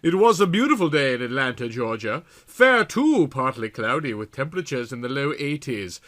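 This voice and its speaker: A man's voice: mid-range voice, very animated, moderate pace, a bit bright. A man reading a story aloud in a theatrical, comic style with exaggerated, melodramatic delivery. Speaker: audiobook reader.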